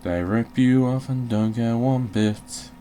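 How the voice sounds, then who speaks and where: A man's voice: mid-range voice, slow pace, mellow. A man giving casual video game commentary, swearing and grumbling jokily as he plays. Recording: clearly noisy background.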